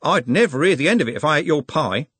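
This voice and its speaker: A man's voice: fairly high pitch, expressive, fast talker, a bit bright, husky, dry recording, pitched above the performer's own voice. A man voicing a cheeky, cocky young male city lad who talks breezily and colloquially.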